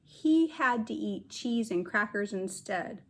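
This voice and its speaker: A woman's voice: medium pitch, expressive, slow. A woman kindergarten teacher reading a storybook aloud, explaining things simply for young children.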